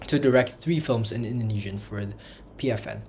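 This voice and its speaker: A man's voice: lowish voice, fairly quick, noisy background. A man reading a scripted history narration for a personal school project, in careful textbook phrasing. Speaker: student narrator.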